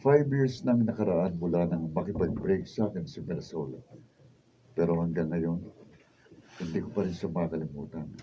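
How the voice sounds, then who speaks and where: A man's voice: low-pitched, moderate pace, highs cut off, background noise, close to an everyday voice. A man playing a regretful young suitor who begs forgiveness, with an imploring, melodramatic Filipino radio drama delivery. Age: young adult.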